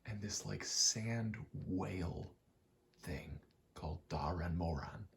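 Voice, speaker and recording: Very deep, gravelly, soft-spoken man. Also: takes it slowly, a little hiss or hum. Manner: soft-spoken, often dropping into whisper; slangy, profane, meandering. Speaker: ASMR video host. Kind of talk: ASMR ramble, casual storytelling.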